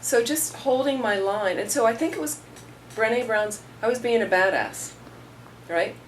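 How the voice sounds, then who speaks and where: A woman's voice: a little high, normal speed. A middle-aged woman giving an informal Buddhist talk, telling stories in a conversational way and acting out what people said. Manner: conversational, storytelling with acted-out quotes. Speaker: Buddhist teacher. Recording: distant mic with faint room noise.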